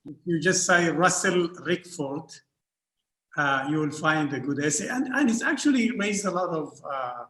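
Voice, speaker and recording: A man from Northern Sudan, an art historian speaking on an academic panel, halting often with repeated fillers and restarts. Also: on the high side, lively intonation, sharp tone. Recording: roomy echo.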